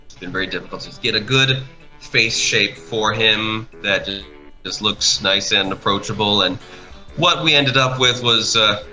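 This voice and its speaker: Male guest, low pitch, very sharp bright tone, enthusiastic and halting, conversational. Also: soft background music, poor audio. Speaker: guest, creator and voice actor. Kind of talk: casual chat, commentary over an art video.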